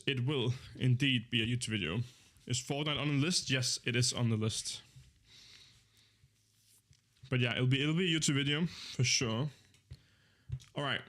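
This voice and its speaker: A young man in his twenties, chatting casually on a live stream in a voice of ordinary, middle pitch. He rambles easily, fills gaps with ums, and corrects himself mid-sentence as he goes. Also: fairly bright tone. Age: 20s. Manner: rambling, easygoing, with many fillers and self-corrections.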